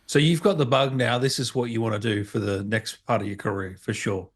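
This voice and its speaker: A man's voice: on the low side, fairly even intonation, a little fast. A man hosting a casual interview, putting short conversational questions and acknowledgements to his guest. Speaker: podcast host interviewing.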